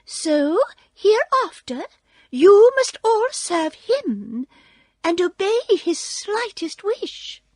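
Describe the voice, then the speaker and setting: A woman voicing a tiny, proud queen of the field mice in a squeaky, imperious storybook voice. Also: very animated, very slow, a little mellow, no echo, exaggerated voice. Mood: regal. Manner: imperious, emphatic. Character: tiny regal field mouse queen, female, indignant and proud.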